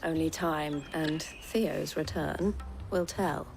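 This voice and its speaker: An actress playing an assured, shrewd woman who leads a trading caravan in a fantasy game, speaking in formal, gently wry, measured phrases. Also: sits low, creaky, exaggerated voice. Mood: composed, curious. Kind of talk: scripted fantasy game voice acting. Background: background music.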